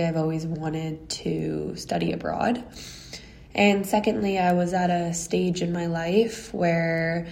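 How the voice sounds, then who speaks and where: Young canadian woman, talks slow and thoughtful, low mellow voice. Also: slight background noise. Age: early 20s. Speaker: guest. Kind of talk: telling a personal story.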